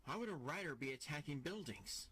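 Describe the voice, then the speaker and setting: A man's voice: a little high, lively intonation, brisk, sharp tone, breathy edge, full character voice. A man playing an earnest, bold armored hero in an audio drama, with emphatic delivery. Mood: puzzled.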